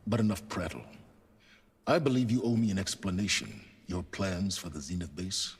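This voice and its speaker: Low-voiced, condescending intellectual schemer. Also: male voice, expressive, breathy edge, exaggerated voice. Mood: dry, impatient. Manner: measured, condescending, dry. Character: aloof, condescending older male schemer, coldly intellectual. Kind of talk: video game cutscene acting.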